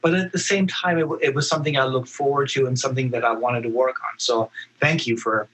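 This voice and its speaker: A man speaking as an actor guest in a casual interview, halting and earnest, often repeating words as he thinks. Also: medium pitch, fairly even intonation, fairly bright tone, slight background noise.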